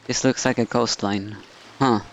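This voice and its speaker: A man's voice: mid-range voice, fairly even intonation, measured pace, low-quality recording. A man streaming a game, reading dialogue aloud and adding casual commentary.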